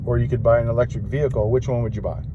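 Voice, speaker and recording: A man's voice: mid-range voice, steady tone, very fast. A man interviewing a passenger in a car, chatty and probing with leading questions. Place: inside a car in city traffic. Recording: dull lo-fi sound with clear car noise.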